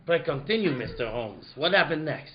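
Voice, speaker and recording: A man playing an anxious, lovesick young gentleman, hesitant and hurried, in an old-time radio play. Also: fairly high pitch, lively intonation, natural voice. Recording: muffled, roomy live recording.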